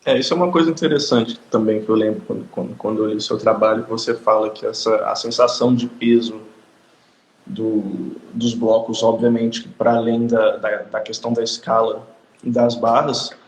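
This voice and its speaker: Man, Brazilian, host, flat monotone, measured, echoey room, faint noise. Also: fairly low pitch. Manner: measured, repeats words while he gathers his thoughts. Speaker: live-stream host. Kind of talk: casual live-stream conversation.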